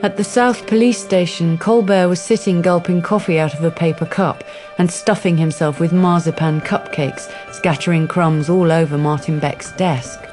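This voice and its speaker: Radio drama narrator over soft music. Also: medium pitch, fairly even intonation, normal speed, hardly any pauses.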